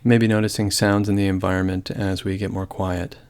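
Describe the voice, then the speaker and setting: A man with a lowish voice leads a guided meditation. His delivery is calm and gentle. He speaks in measured phrases, with long silences between them. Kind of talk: guided body scan meditation.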